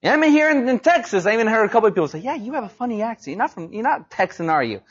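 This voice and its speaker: A man's voice: high-pitched, very animated, very fast, husky, highs cut off. A man preaching a sermon as a missionary, talking casually and rising emphatically on his key points. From Mexico.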